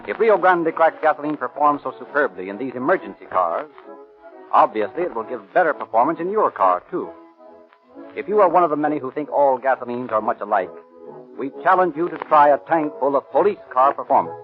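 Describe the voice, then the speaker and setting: A man's voice: mid-range voice, lively intonation, a little fast. An American man announcing and narrating from a script in a formal, declamatory 1930s radio style. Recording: tinny 1930s radio recording, music behind.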